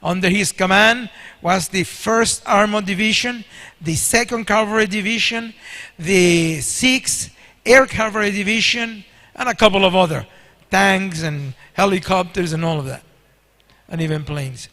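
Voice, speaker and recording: Older male preacher in his sixties, Spanish his first language; high, bright voice, church hall echo. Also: unhurried. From Spanish-speaking country. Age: 60s. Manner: storytelling, asks the congregation questions. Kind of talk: sermon. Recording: long hall reverb.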